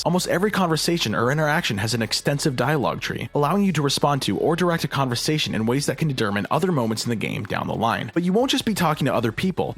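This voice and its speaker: A male narrator delivering a scripted review. He talks fast in a medium pitch with lively movement, and the voice is a little husky. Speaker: video essay narrator.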